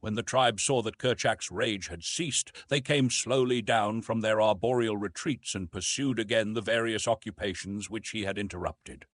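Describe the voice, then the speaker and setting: A man narrating a classic adventure story as an audiobook, in a measured, dramatic storytelling manner. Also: lowish voice, dry recording, studio quality.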